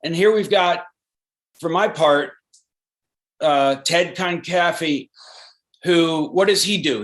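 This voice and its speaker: An actor being interviewed, talking in a rambling, thoughtful way with many restarts. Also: male voice, fairly high pitch, a bit bright. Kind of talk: casual interview.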